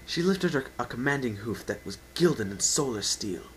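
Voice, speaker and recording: A man's voice: medium pitch, animated, normal speed, a bit bright, a little hiss or hum, rough recording. A man narrating an unedited dramatic story reading with theatrical, emphatic delivery. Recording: amateur home recording with faint whine.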